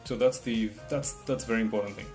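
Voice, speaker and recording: A man's voice: fairly low pitch, steady tone, fast talker, a little mellow. A man whose first language is not English gives rambling test-prep advice, restarting often and checking in with "right?". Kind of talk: casual advice talk to camera. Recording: guitar music in the background.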